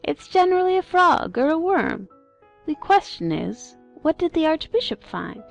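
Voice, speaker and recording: Woman playing a creaky, blunt storybook duck. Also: very high-pitched, sing-song, moderate pace, faint music behind, full character voice. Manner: blunt, literal-minded. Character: blunt, practical duck interrupting with questions. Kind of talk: dramatized storybook audiobook acting.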